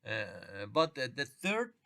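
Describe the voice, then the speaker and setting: Man, Persian accent, middle-aged, English exam teacher, halting, repeats phrases, mid pitch. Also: sing-song, pushed and bright. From Iran. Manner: halting, restarts and repeats phrases, uneven grammar. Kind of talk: workshop lecture to students.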